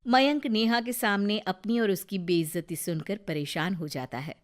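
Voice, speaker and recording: A woman narrating a cartoon moral story in a calm, even storytelling manner. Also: medium pitch, moderate pace.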